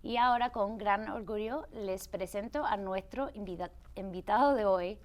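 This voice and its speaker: Presentadora de televisión que conversa con soltura, mezcla palabras en inglés. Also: female voice, medium pitch, normal speed. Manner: chatty, with restarts and switches into English words. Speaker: TV show host. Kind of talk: hosting a TV interview.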